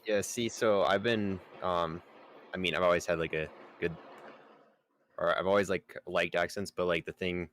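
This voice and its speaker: A man's voice: low voice, fairly even intonation, normal speed, a bit bright, slight background noise. A man rambling in a casual group chat, full of likes and ums.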